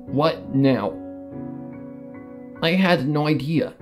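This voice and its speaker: A man's voice: a little high, expressive, extremely slow. A man reading a dramatic first-person story in a solemn, theatrical manner. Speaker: fan fiction narrator. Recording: music behind the voice.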